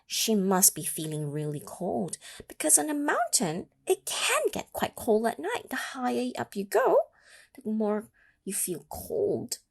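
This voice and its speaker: A woman reading a picture book aloud to children in an expressive, gently encouraging way, stopping to ask her listeners questions. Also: on the high side, measured pace, gravelly, breathy edge. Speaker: storytime reader.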